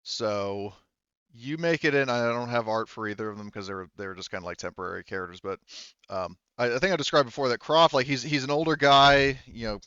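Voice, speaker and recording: A man speaking as game master, casually narrating a tabletop roleplaying game in a halting, improvised way. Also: medium pitch, bright, forceful tone, clear, resonant tone, dry recording, lo-fi sound. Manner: halting, informal, loosely improvised delivery.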